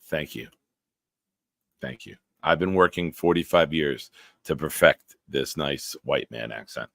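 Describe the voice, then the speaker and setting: A man in his forties hosting a live-stream show, reading viewer comments aloud and bantering bluntly with a smooth, deep voice. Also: a little slow, fairly bright tone, no room sound, studio quality. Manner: banters with chat, blunt and profane.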